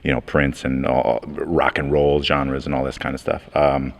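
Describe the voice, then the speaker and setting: Very deep-voiced man, relaxed. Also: normal speed, vocal fry. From upstate New York. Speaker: a cappella bass singer. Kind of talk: casual interview.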